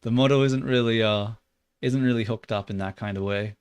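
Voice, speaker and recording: A young Australian man livestreaming while he works, chatting loosely with lots of "like" and muttering curses at his software. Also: on the low side, steady tone, a little fast, smooth, dry recording. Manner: rambling and loose, heavy on "like", muttering and swearing at the software. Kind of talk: casual livestream chat while 3D modeling.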